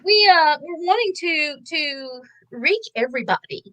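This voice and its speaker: Woman, Southern US accent, high pitch, chatty co-host, laughs easily. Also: animated, a little slow, a bit bright, rough recording. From Louisiana. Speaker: podcast co-host. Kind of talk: casual live chat.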